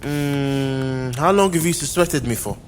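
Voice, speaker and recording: A man's voice: medium pitch, measured pace, slight background noise, lightly acted. An actor playing a sly, smug male traitor in an audio drama, cool and mocking.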